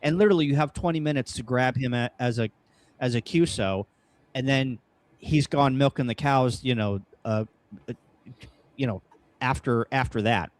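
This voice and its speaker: An American man hosting a casual livestream, talking through ideas in halting, short phrases. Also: mid-range voice, no echo. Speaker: host of a ham radio livestream. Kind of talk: casual livestream brainstorming talk. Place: upstairs room at home, kitchen table.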